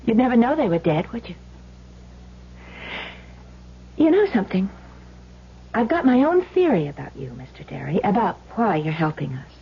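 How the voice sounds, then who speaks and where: A woman's voice: mid-range voice, very animated, a little fast, creaky, slight background noise. An actress playing a wry, bitter wife of a crook, probing as she talks, in a 1970s radio drama. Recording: dull lo-fi old radio recording.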